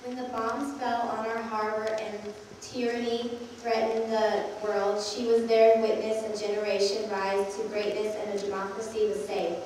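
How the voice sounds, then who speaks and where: A woman's voice: mid-range voice, little pitch movement, normal speed, few pauses, a little mellow. Young woman speaking out in reverberant hall. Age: young adult. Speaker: congregation youth member reading a speech. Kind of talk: read-aloud political speech at prayer service. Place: large church, indoors. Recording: echoey church hall, far off, faint noise.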